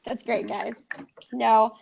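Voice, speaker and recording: Female stream host, fraying gravelly voice, lo-fi capture, chatty. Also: a little high, level tone, unhurried, a little hiss or hum. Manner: chatty, laughs often. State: losing her voice. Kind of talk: casual group chat on a stream. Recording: dull lo-fi stream audio.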